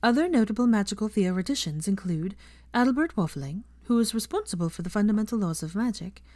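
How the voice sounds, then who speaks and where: A woman voicing a young female teacher who lectures a class in a brisk, earnest, encouraging way with projected questions. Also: medium pitch, animated, a little mellow, no room sound, natural voice. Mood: brisk, instructive.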